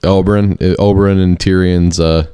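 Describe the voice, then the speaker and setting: A man's voice: low voice, flat delivery, normal speed, warm tone, smooth, no room sound. A man co-hosting a casual podcast, laid-back and blunt, swearing now and then. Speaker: podcast co-host.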